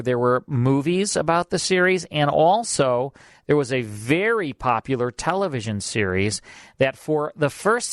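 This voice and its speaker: A man's voice: mid-range voice, no room sound, studio quality. A man hosting a radio show, chatting casually and rambling with lots of fillers as he introduces an old broadcast.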